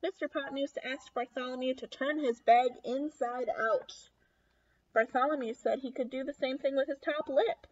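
Young lady doing a kids storytime read aloud, really high voice, muffled homemade recording. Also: steady tone, normal speed. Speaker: children's read-aloud host. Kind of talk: expressive picture-book read-aloud for children. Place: small room at home.

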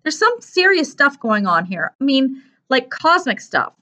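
A woman's voice: sits high, very animated, a little slow, pushed and bright, voice pushed up, full character voice. A woman voicing a nervous, earnest, awkward teenage boy in an audiobook, anxious and apt to stammer when upset. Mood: earnest, wondering.

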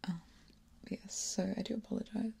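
A young woman in her twenties whispering intimately and softly singing lullabies as an ASMR creator, close on a binaural mic in a small dark room. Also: medium pitch. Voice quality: breathy.

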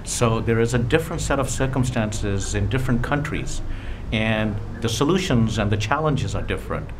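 An older man with a fairly low voice answers in an interview. He phrases his points deliberately, with clear ambient noise around him. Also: expressive. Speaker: conference attendee being interviewed.

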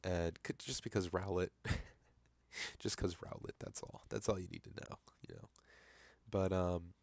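A man's voice: low-pitched, fairly even intonation, unhurried, gravelly, darkish tone, no echo. A young man with a stuffy nose from a cold, rambling in a casual vlog with lots of fillers and restarts as he talks over his screen. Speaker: vlogger.

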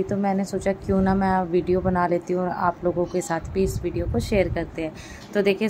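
A woman's voice: mid-range voice, background noise. A woman giving a casual step-by-step vlog voice-over, explaining and rephrasing her points as she goes. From India. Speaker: vlogger.

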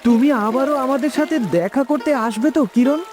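A man as a very high-voiced young prince. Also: brisk, darkish tone. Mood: gently pleading. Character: earnest, affectionate young water-kingdom prince. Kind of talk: cartoon voice acting. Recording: clear music bed behind.

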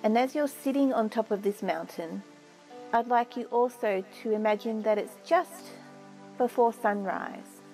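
A woman narrating a guided meditation in a soothing, measured way with long pauses. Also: on the high side, warm tone, low-quality recording. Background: music.

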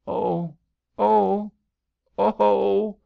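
Plaintive young sweetheart, played by a male reader, raised pitch, halting, romantic storybook read, slightly dull sound. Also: little pitch movement, gravelly, full character voice. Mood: tearful, plaintive. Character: tearful, lovestruck young woman, romantic and pleading.

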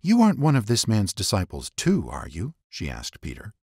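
A man narrating scripture as a dramatic scripted reading, in a measured storytelling manner with phrases set apart. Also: fairly low pitch, wildly swooping pitch, gravelly, a little mellow, breathy edge, no room sound, clean studio sound.

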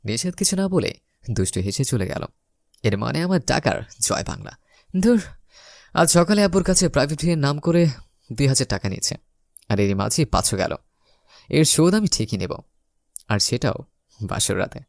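Animated young man telling a romantic story. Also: medium pitch, moderate pace, creaky, pauses often, dry recording, studio quality. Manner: theatrical, acts out his lines. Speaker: story narrator. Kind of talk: dramatised first-person romantic comedy narration.

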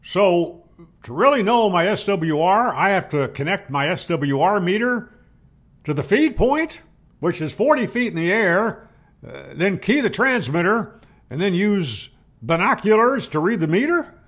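Older man, slightly high-pitched voice, slow pace, lively intonation, emphatic plainspoken instructor. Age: older, 60s or 70s. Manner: emphatic, plainspoken, poses and answers his own questions. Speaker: amateur radio instructor, host. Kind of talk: explanatory tutorial to camera. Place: home room, indoors. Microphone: studio microphone on boom arm, close.

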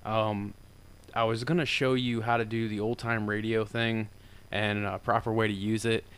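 A man with a deeper voice talking through a software tutorial in a loose, rambling way, full of fillers. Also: little pitch movement, moderate pace, clear, resonant tone. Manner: rambling and informal, with many fillers. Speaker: tutorial maker. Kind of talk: casual screen-recorded software tutorial.

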